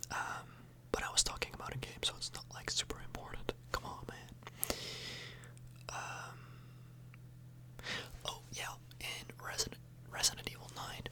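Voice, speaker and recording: A woman speaking in a breathy whisper, rambling casually for an ASMR video, close to the microphone and often tagging on questions. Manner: casual, meandering, frequent tag questions.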